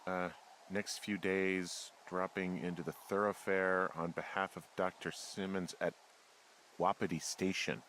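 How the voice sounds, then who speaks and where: A man's voice: deep voice, a little fast, a bit bright, slight background noise. An American man playing a wry, wary middle-aged fire lookout in a story video game, speaking in a casual, dry, hesitant way. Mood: uneasy curiosity. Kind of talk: naturalistic game dialogue. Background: game music.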